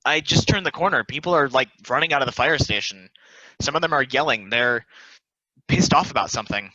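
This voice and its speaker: Actress as anxious female driver, bright edge, overwhelmed, stage-play read, dry lo-fi sound. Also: a bass voice, brisk, no room sound, heavily acted. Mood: alarmed. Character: anxious, overwhelmed woman driver hurrying to a prize.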